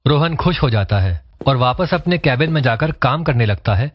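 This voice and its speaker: A man's voice: mid-range voice, normal speed, no room sound. Fields: Role: male story narrator. Sound: dull lo-fi. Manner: steady storytelling. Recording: dull lo-fi voice-over.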